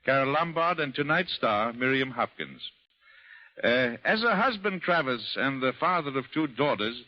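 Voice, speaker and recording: A man hosting a radio show, reading a scripted introduction and interviewing a guest in a genial, joking way, on a dull old broadcast recording. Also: medium pitch. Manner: genial, joking, reads smoothly.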